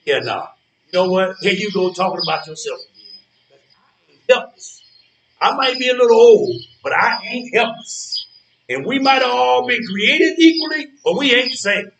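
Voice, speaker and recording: An actor plays a boastful, feisty old man in a stage play. His high voice moves up and down a lot as he talks in a folksy, defiant way. He is recorded from a distance. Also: animated, normal speed, gravelly, a bit bright, exaggerated voice. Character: feisty, boastful old man who refuses to feel helpless. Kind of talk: live stage play dialogue. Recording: roomy stage recording from far off.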